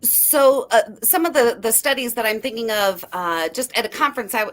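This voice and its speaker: A woman's voice: fairly high pitch, lively intonation, normal speed, a bit bright. A woman guest on a literacy panel, explaining research in an earnest way and often restarting her sentences. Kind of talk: panel discussion.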